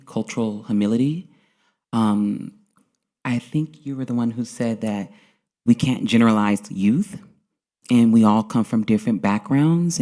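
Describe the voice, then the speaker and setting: A man's voice: mid-range voice, warm tone. A man speaking earnestly as a panelist at a conference, often checking in with "right?". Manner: earnest, frequent "right?" tags. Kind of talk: panel discussion. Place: conference session.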